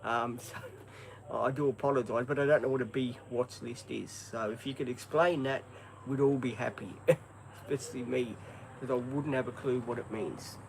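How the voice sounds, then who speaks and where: A man's voice: mid-range voice, fairly even intonation, moderate pace, creaky, slight background noise, low-quality recording. An Australian man in his fifties chatting on a casual livestream, hesitant and rambling with many ums. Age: 50s. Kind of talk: casual live-stream chat. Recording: crickets and insects behind.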